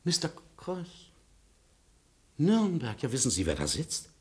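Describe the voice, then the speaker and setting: An actor playing a worn, sceptical emigrant lawyer in a 1950s radio crime drama, husky and hesitant. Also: male voice, fairly high pitch, wildly swooping pitch, unhurried, darkish tone, heavily acted. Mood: agitated. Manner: doubting, hesitant.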